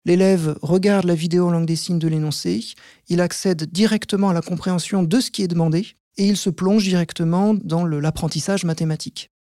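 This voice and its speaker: Calm teacher guy, dark mellow voice, dry close sound, explains slowly with lots of euh. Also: sits high, dry recording. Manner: measured, explains step by step with frequent "euh" pauses. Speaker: maths teacher, podcast guest. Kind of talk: explanatory interview answers.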